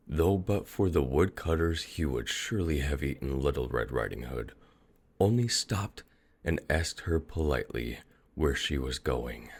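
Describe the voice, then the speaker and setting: A man narrating a fairy tale as a storyteller, in a measured, atmospheric manner. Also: extremely low pitch.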